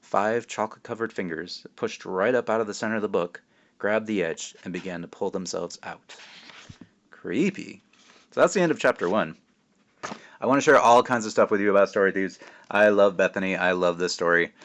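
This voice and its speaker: A man reading a children's book aloud as its author, expressive and wry, slipping in casual asides. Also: on the low side, brisk. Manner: expressive, self-interrupting, wry.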